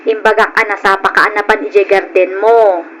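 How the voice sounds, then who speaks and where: An actress playing a cheerful, dutiful young country wife in a radio drama, with an Ilocano accent. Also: female voice, medium pitch, fairly even intonation, moderate pace, a bit bright, close to an everyday voice, low-quality recording. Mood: friendly, homely. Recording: old radio drama, faint noise.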